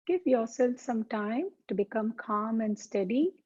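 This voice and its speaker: A woman teaching yoga as a slow guided meditation, speaking calmly and deliberately with soothing pauses. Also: a little high, husky. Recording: dull, muffled lo-fi sound.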